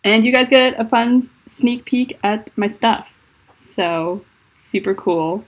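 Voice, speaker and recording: A woman with a deep voice and a lisp chats casually on a livestream from home, rambling and self-conscious, with lots of fillers. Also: takes it slowly. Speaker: livestreaming fanfiction writer. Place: at home indoors. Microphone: laptop mic.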